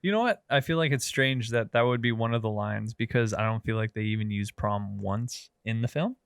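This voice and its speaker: Man, nasal voice, smooth tone, casual co-host banter, dry close mic. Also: lowish voice, a little fast, no room sound. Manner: laughs often, swears casually. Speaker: podcast co-host.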